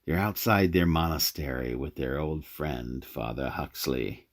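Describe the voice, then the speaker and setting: A man narrating a radio drama in a measured, storytelling manner. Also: rumbling low.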